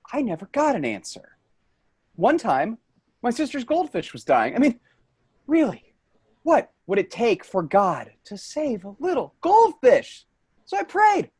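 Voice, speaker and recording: A man's voice: high voice, exaggerated voice. An embittered, defensive career man, acted by a man in a staged reading. The melody swings widely as the haunted worker pushes back against everyone.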